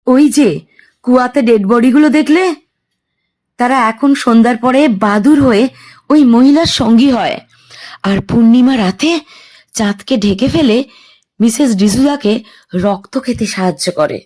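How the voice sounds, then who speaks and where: A frightened young heroine, played by a woman, speaking in a tense, hushed way. Her voice sits a little high. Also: measured pace, pauses often.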